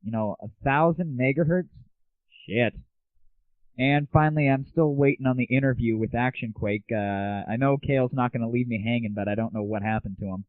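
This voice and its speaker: A man's voice: mid-range voice, moderate pace, no room sound. A man hosting a casual, blunt monologue show about gaming, talking informally with some mild swearing. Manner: informal, mildly profane, blunt. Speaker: show host. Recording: tinny narrow-band phone-like audio.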